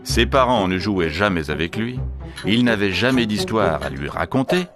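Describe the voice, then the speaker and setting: A man reads a children's story with a highly animated, swooping delivery. His voice sits fairly low and he performs the narration theatrically. Music plays clearly behind him. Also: normal speed.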